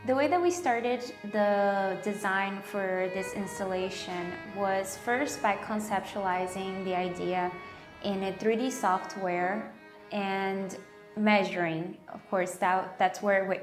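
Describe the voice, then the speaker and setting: A young adult woman from Brazil, an architectural designer and artist, explaining her work calmly in a documentary interview. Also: mid-range voice, a little slow. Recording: roomy with music bed.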